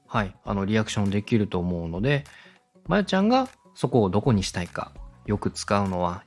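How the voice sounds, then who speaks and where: A man's voice: fairly low pitch, darkish tone. A man giving a polite, step-by-step explanatory talk as a podcast host and instructor. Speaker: podcast host and radio director teaching podcast making.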